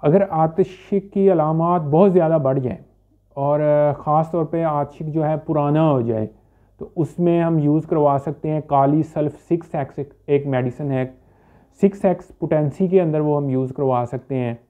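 Guy doctor explaining stuff to camera, bit high voice, stop-start, drops in english words, tinny narrow audio. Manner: halting, explanatory, with repeated check phrases and English terms mixed in. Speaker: homeopathic doctor presenting a health video. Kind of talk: informal medical explainer to camera. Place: small furnished indoor room. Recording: dull, limited-bandwidth phone-like sound.

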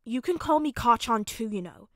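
A woman's voice: a little high, animated, moderate pace, fairly bright tone, dry recording, clean studio sound. A woman voicing a proud, grumpy four-year-old boy in a story, blunt and pouting as the boy makes demands. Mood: pleased, proud.

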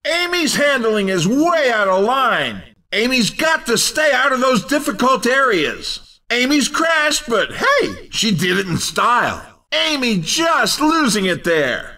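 An excitable, cheeky race commentator for a video game. His voice sits extremely high and rings out forcefully. He delivers each punchy exclamation unhurriedly, playfully calling the action. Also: slow, far from the mic, full character voice. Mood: excited, teasing.

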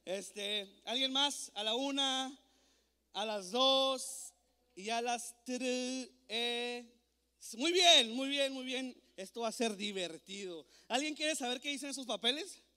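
High, playful youth preacher. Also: male voice, slow pace, bright, forceful tone, smooth. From born in Mexico, raised in Texas. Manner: playful, joking, coaxing the crowd. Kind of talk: lively youth sermon. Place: large church auditorium with stage. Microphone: handheld mic. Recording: echoey hall recording.

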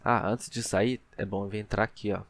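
A man's voice: on the low side, fairly even intonation, moderate pace. A young man with a Brazilian accent, giving casual commentary while playing a video game. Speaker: gaming YouTuber. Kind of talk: casual let's play commentary.

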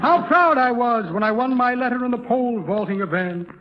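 A man's voice: very high-pitched, a little fast. An actor playing a pompous, shifty American con man with flowery, breezy talk in a 1930s radio comedy. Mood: breezy, rambling. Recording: lo-fi 1930s radio with audience noise.

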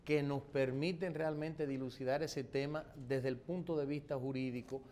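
A middle-aged man as a guest on a TV studio interview, answering in a measured, formal, explanatory way on a lapel mic. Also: medium pitch, fairly even intonation, a little mellow, a little hiss or hum. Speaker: political guest.

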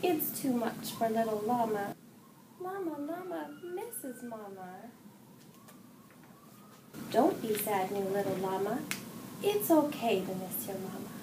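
A middle-aged woman reading a children's picture book aloud with expressive, sing-song phrasing. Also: on the high side, slow pace, pauses often. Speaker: children's story reader, hospital executive assistant. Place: indoor room with wall decorations. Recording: roomy echo, distant mic, clear background noise.

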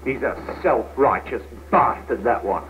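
Tinny 70s film, bloke acting young british office guy, frustrated n earnest, very lively pitch. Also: unhurried, close to an everyday voice. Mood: bitter, frustrated. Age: young adult. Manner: earnest, argumentative. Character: frustrated, ambitious young male office worker. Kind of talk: 1970s training film acting. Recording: tinny, noisy 1970s film soundtrack.

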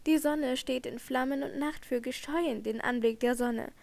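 A frightened young singer pours out her dread in anguished, trembling tones, as a woman reading a storybook voices her. The voice sits a little high and rises higher in her distress. It is plain audiobook reading. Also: normal speed, gravelly, a little mellow.

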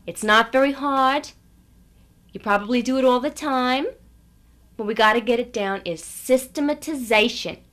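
A woman playing a brisk, condescending seminar leader in a satirical radio lecture, coaxing her listeners. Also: a little high, fairly bright tone, natural voice. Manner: patronizing, coaxing the audience.

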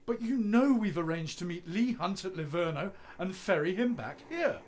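An actor playing an idealistic, stubborn young husband and poet in a radio play, breezy and insistent. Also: male voice, sits high, expressive, normal speed, slight background noise. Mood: defensive.

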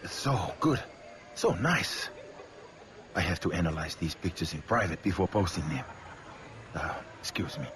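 A male island villager in a video game, earnest and unsettlingly eager. His low voice swings dramatically up and down, sliding between solemn conviction and creepy gushing. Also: sing-song, measured pace, long pauses, noisy background. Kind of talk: video game character acting.

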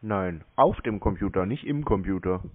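A man's voice: sits low, expressive, moderate pace. Grumbling man on a muffled line. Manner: obtuse, grumbling, argumentative. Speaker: scambaiter posing as a victim on a phone call. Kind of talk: phone conversation, playing a slow customer. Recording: muffled lo-fi phone call.

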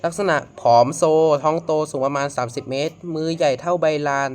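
A man hosting a casual reaction video, politely addressing viewers as friends in a halting, repetitive way with frequent exclamations. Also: fairly high pitch, fairly even intonation. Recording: noisy amateur home recording.

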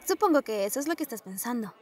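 A woman's voice: on the high side, lively intonation, quick pace, darkish tone, natural voice. A woman voicing a self-assured, sensible young college student in a webtoon dub. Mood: cool, confident.

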